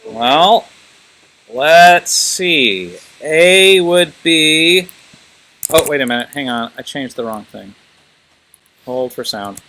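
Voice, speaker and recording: A man's voice: on the high side, lively intonation, takes it slowly, long pauses, pushed and bright, a little hiss or hum. A man teaching a class informally, thinking aloud and prodding students with questions.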